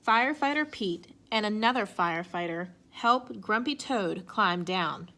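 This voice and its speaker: A woman reading a children's picture book aloud in an expressive way. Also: medium pitch, a little slow. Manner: expressive, acts out sound effects and exclamations. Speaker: storybook reader.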